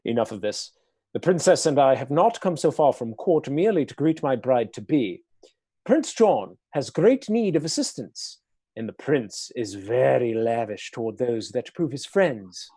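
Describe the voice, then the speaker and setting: A commanding villainous earl speaks in a dark, mellow voice whose pitch swoops widely. He is smooth, cold and condescending, a schemer in a radio play. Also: very animated, normal speed. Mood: scheming.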